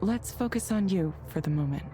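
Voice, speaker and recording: A woman voicing a composed virtual counsellor in a video game, asking measured, neutral, clinical questions. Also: on the low side, lively intonation, dark tone, faint music behind, natural voice. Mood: calm, probing. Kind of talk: scripted video game voice acting.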